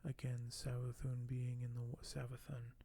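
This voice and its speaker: A man doing soft-spoken ASMR in a breathy voice that often drops to a whisper, speaking in a slow, hushed, hesitant and apologetic way. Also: medium pitch, monotone. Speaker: ASMR video host.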